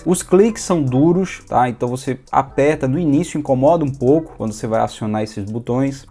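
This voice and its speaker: A man's voice: fairly high pitch, a little mellow. A man with a Brazilian Portuguese accent giving an informal, organized product review in a conversational, explanatory way. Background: background music.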